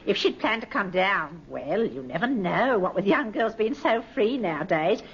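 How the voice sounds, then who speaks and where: A woman's voice: mid-range voice, sing-song, normal speed, breathy edge, heavily acted. An actress playing a worried, chatty landlady who rambles hesitantly in an old-time radio drama. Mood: fretful. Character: fretful, chatty village landlady, worried and motherly. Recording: muffled, noisy old radio recording.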